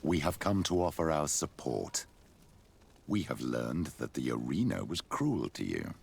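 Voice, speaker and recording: A man's voice: a bass voice, big pitch swings, measured pace, full character voice. An actor playing a sly, ambitious old clergyman burdened by guilt, speaking formally and theatrically in a video game. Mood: smug. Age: older. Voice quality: gravelly, husky. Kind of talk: video game cutscene acting.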